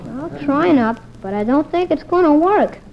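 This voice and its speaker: Casting: bright-eyed schoolboy schemer, high swooping voice, boyish slang, radio comedy-drama, muffled vintage recording. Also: lively intonation, moderate pace. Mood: worried. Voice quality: high, youthful voice. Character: eager, imaginative young boy sick in bed, anxious schemer.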